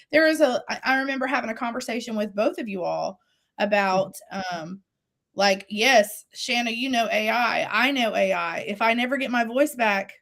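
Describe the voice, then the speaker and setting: A woman in her forties talks through her story as an interview guest, earnest and reflective, often restarting a thought. Her voice is a little higher than most, and it is still recovering after she lost it. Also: a bit bright. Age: 40s. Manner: earnest, faith-driven, with laughs and restarts. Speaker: singer-songwriter guest.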